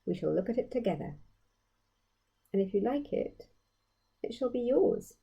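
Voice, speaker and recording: A woman voicing a gentle, encouraging island queen and mother in a storybook, murmuring and coaxing. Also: a little high, animated, normal speed, gravelly, husky, muffled recording, pitched above the performer's own voice, exaggerated voice. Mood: soft, comforting. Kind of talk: storybook character voice.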